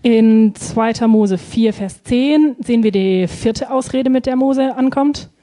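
A woman's voice: on the high side, slow pace, dark tone. A woman interpreting a sermon live, phrase by phrase, hesitating and feeling for words with frequent ums.

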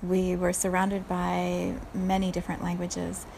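A woman in her forties who grew up in South Africa, speaking in an unhurried, thoughtful solo talk and laughing softly at herself now and then. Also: lowish voice, completely flat delivery, creaky, slight background noise, rough recording. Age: 40s.

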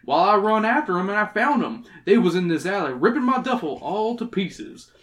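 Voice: medium pitch, very animated, moderate pace, fairly bright tone, pitched above the performer's own voice. A reader putting on a folksy old prospector. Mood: indignant. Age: older. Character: folksy old male prospector, gruff, stubborn, smug. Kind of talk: reading a storybook character aloud.

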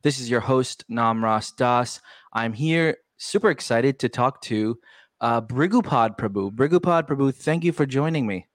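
Upbeat podcast host, dry close sound. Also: male voice, medium pitch, lively intonation, no room sound. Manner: upbeat, chatty, quick interjections. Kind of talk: casual podcast interview.

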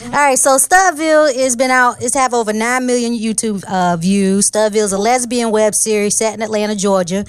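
A woman hosting a casual chat show, talking in a loud, playful way and laughing easily. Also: a little high, fairly even intonation, fairly quick, few pauses, clear, resonant tone, no room sound. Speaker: host.

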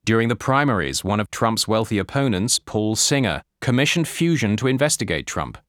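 A man narrates a nonfiction audiobook in a fairly low voice. The recording is dry and close, with no room sound. He phrases the scripted text in a measured, deliberate way. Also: sing-song, a bit bright, dry recording.

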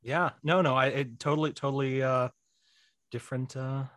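A man chatting casually as a podcast guest, in a halting, self-deprecating way. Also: medium pitch, steady tone, fairly bright tone, no room sound, clean studio sound. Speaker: podcast guest, writer and director. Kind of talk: casual conversation.

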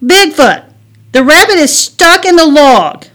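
A woman voicing a spirited, excitable little girl who exclaims dramatically in a children's story. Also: high voice, normal speed, pitched above the performer's own voice. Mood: urgent, excited.

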